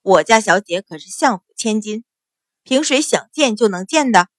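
Woman doing male bodyguard, cocky, cold, mocking, unhurried, audiobook hero, dry sound. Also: medium pitch, animated, dry recording, close to an everyday voice. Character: cool, cocky male fighter guarding his young mistress.

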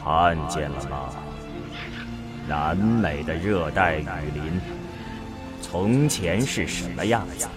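A man acting a grave, visionary scientist in a science fiction radio drama, speaking in solemn, deliberate oratory, convinced he has saved the world. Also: sits low, animated. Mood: grave, indignant. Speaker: voice actor in an audio drama. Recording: music behind the voice.

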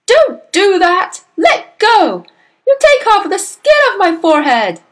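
Woman putting on a loud, indignant blustering father. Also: on the high side, expressive, moderate pace, close to an everyday voice. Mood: pained, frantic. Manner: shouting, spluttering indignantly. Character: loud, irate, blustering middle-aged father.

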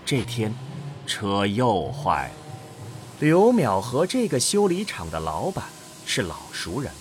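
A man with a husky voice narrating a scripted science-fiction audio drama. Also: on the low side, huge pitch swings, slow pace, slight background noise.

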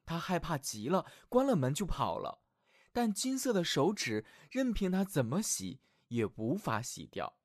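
A man narrating a scripted fairy tale for small children as their storyteller, in an expressive, affectionate manner. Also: fairly high pitch, unhurried, dry recording, studio quality.

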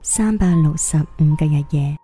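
A woman's voice: low-pitched, dark tone, close to an everyday voice. A woman with a Cantonese accent acting a weary young woman's wistful monologue in a radio drama. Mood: wistful, reflective. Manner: wistful, measured, reflective. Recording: music behind the voice.

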